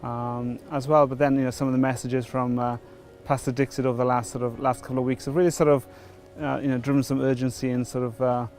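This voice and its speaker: A man's voice: medium pitch, little pitch movement, quick pace, few pauses, darkish tone, soft background music. A middle-aged Welsh man from Wales, giving a personal testimony before his baptism, hesitant with many fillers and restarts. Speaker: church member before baptism. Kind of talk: testimony interview.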